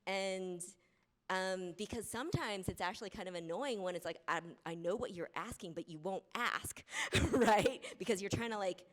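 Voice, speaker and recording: A woman's voice: medium pitch, moderate pace. A woman who grew up in the U.S., speaking on a college panel in a conversational way and often checking in with "right?". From United States. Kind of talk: panel discussion. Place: college lecture hall.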